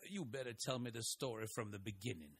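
A man voicing a calm, wry small-town husband with a dry, teasing manner. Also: fairly low pitch, brisk, slightly rough. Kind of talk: audio drama acting.

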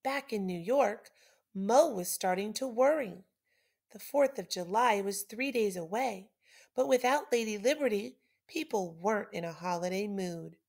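A middle-aged woman reads a children's storybook aloud in an expressive, swooping voice. She takes her time, stressing words dramatically, with some creak in her voice. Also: medium pitch. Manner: clear, deliberate storytelling with dramatic emphasis. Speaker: teacher reading aloud.

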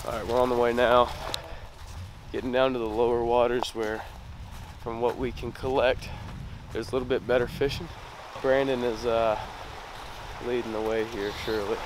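A man's voice: medium pitch, flat delivery, moderate pace, pauses often, background noise. A young man in his twenties chatting casually and joking with friends while backpacking in a forest. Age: 20s. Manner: easygoing, joking, hesitant with fillers. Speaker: backpacker filming a trip. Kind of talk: casual chat. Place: outdoors in a forest, also inside a tent.

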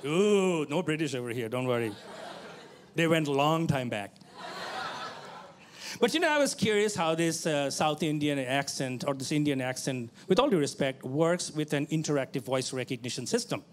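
A man from Bangladesh doing a stand-up comedy set on a club stage into a handheld mic, animated and full of put-on voices. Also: a little high, normal speed, slight background noise.

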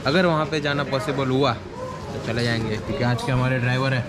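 A man's voice: medium pitch, fairly even intonation, a little fast, a loud crowd. A man hosting a casual road trip vlog, chatty and laughing often as he talks to viewers. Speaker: vlogger host. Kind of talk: casual travel vlog chat. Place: outdoors by car on a road trip. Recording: loud outdoor noise.

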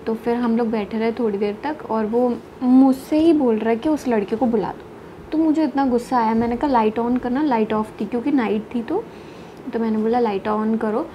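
Chatty young woman, high bright voice. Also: normal speed, highs cut off. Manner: chatty, mixes English words into Hindi. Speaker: travel vlogger. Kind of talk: casual vlog chat. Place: small bedroom at home, indoors. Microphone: phone camera at arm's length. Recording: noisy train and station ambience.

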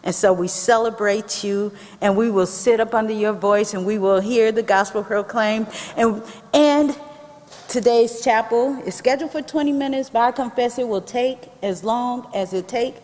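A woman leading a chapel service, addressing the congregation with deliberate, measured phrasing. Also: a little high, a little mellow. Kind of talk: addressing a congregation, welcome and prayer. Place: large indoor chapel with brick walls. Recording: echoey hall, picked up from the audience.

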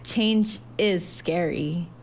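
A loving storybook mother, played by a woman narrator, tender and reassuring. She takes her time over each phrase and encourages softly. There is faint noise in the recording. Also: lowish voice, very slow. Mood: tender, encouraging. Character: gentle, loving, reassuring mother of a growing girl.

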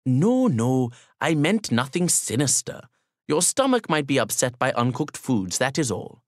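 A man's voice: mid-range voice, sing-song, a little slow, dry recording, studio quality. A man voicing a courteous, talkative young Pakistani man who hosts a guest with formal, reassuring politeness. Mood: polite, reassuring.

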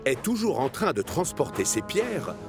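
A man narrating a children's cartoon story in an expressive storytelling manner. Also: on the high side, normal speed, music in the background.

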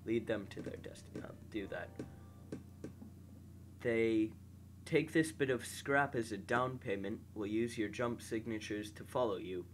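A young man with a slightly nasal, congested voice, calmly commentating a space game and reading its text aloud while muttering to himself. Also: on the low side, measured pace, soft background music. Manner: relaxed, mumbles quietly to himself while playing. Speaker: gameplay host.

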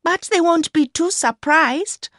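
A woman voicing a timid young woman, meek and hesitant but softly stubborn, in an audiobook story. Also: very high voice, slow pace, no echo, studio quality, pitched above the performer's own voice, exaggerated voice. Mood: meek, guarded. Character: timid young woman, rich older man's secret lover. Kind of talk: acted dialogue in audiobook story.

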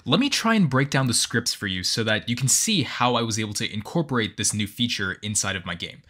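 A man's voice: medium pitch, expressive, a little fast, bright, forceful tone. A man hosting a casual tutorial, patiently explaining things step by step and stressing key words. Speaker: tutorial host. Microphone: microphone, voice-over of screen recording.

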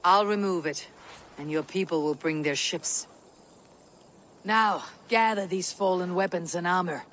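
An actor playing a stern Viking war leader, blunt and commanding, in a video game cutscene. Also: male voice, high-pitched, a little slow, fairly bright tone, slight background noise.

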